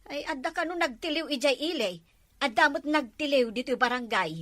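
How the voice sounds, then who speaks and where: A woman's voice: sits high, a little fast, fairly bright tone, no echo. A woman with an Ilocano accent in a comedy sketch, urgently reporting news.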